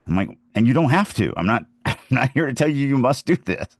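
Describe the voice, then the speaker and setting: A man's voice: medium pitch, very animated, a little fast, no room sound, clean studio sound. A man hosting a podcast, giving writing advice in an informal, opinionated, conversational way with anecdotes. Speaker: podcast host, fantasy author and writing teacher.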